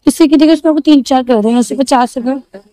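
Brief: high, dry-sounding female shopping vlogger, chatty, repeats prices, outdoor market. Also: fast, dark tone, dry recording. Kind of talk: market walkthrough vlog.

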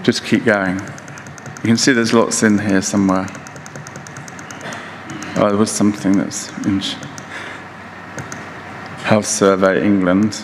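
Older guy in his 60s doing a keynote talk, kinda halting, big reverby hall, bit of noise. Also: lowish voice, level tone. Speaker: keynote speaker. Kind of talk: conference lecture. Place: large conference hall stage, indoors.

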